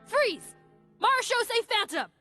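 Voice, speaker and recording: A woman playing a brisk, assertive law officer in a video game, confident and commanding. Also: very high-pitched, big pitch swings, pushed and bright, soft background music, heavily acted.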